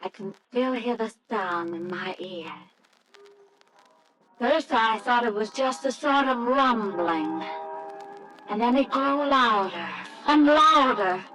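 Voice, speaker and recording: Earnest young wife, high-voiced, unhurried. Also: female voice, lively intonation, sharp tone, faint music behind, exaggerated voice. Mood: wistful, reflective. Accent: American. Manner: hesitant, halting, tender. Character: earnest, anxious young American wife, wistfully recalling her marriage. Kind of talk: old-time radio drama acting with narration. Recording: faintly noisy old radio recording.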